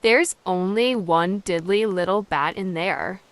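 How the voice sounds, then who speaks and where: A woman voicing an excitable, scatty but kindly witch with emphatic, exclamatory delivery in a storybook reading. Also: mid-range voice, unhurried, natural voice. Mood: brisk. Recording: clear background noise.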